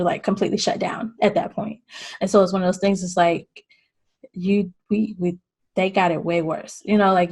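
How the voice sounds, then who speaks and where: A woman hosting a podcast in casual chat, relaxed and rambling. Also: lowish voice. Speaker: podcast host.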